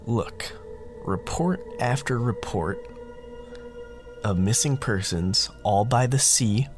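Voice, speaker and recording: A man's voice: medium pitch, takes it slowly, soft background music. A man narrating a horror story in a casual, wry, conversational way, as the host of a storytelling podcast.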